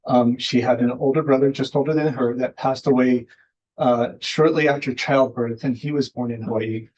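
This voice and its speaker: A man's voice: mid-range voice, steady tone, normal speed, a little mellow, lo-fi sound. A man telling a personal family story at a community panel, hesitant, with many fillers and restarts. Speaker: panelist, Iosepa descendant.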